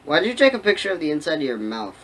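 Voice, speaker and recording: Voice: medium pitch, big pitch swings, rapid-fire, fairly bright tone, slightly rough. A co-host chatting casually and joking in reaction to someone else's reading.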